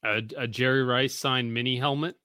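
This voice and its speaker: A man in his forties hosts a podcast, rambling through a story in loose casual chat and cursing as he goes. His voice is bright and forward, and the sound is dry and close, with no room around it. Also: medium pitch, steady tone, moderate pace, smooth, no echo. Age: 40s. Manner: rambling storyteller, swears freely. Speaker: podcast host.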